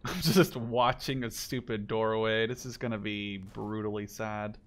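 A man in his twenties from the Netherlands, chatting casually and joking with a friend while playing a shooter game, with sudden excited shouts. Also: fairly low pitch, a little slow. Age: 20s. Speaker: gaming channel host. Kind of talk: casual gameplay chat with a friend.

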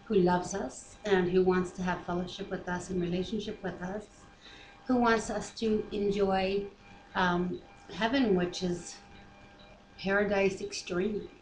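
A mature woman chats as co-host of a home devotional, readily chiming in with casual reflections. Her voice sits at a medium pitch. The webcam microphone picks up a very roomy sound and a faint layer of noise. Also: fairly even intonation, measured pace. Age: middle-aged to older. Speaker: co-host of a Bible reading series. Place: living room at home. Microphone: webcam microphone at arm's length.

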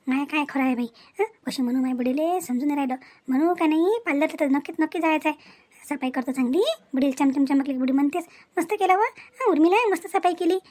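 A woman's voice: sits high, quick pace, a little mellow, natural voice. A woman voicing a vain, calculating young daughter-in-law in a family cartoon, muttering complaints in a Varhadi Marathi accent. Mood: scheming, hesitant. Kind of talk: cartoon family comedy acting.

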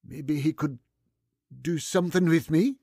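A man playing an older father, gruff but softening and hesitant, in a radio drama. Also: a little high, measured pace, dry recording, studio quality. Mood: humbled, tender. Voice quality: gravelly, husky.

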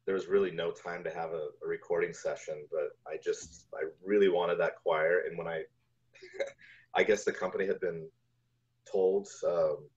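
A deep-voiced man in his forties talks casually as an interview guest. He recounts things in a halting, easygoing conversational way. The sound is slightly dull, like a video call from a room at home. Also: fairly even intonation, a little mellow. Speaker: guest, composer.

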